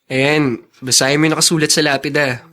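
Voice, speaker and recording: A man's voice: fairly high pitch, fairly even intonation, a little fast, pushed and bright. A man with a Filipino accent acting a kind, protective older brother in a radio drama. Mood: calm, teasing.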